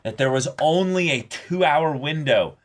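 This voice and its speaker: A man's voice: on the high side, expressive, measured pace. A man co-hosting an anime reaction show, chatting casually with plenty of swearing and acting out imagined quotes.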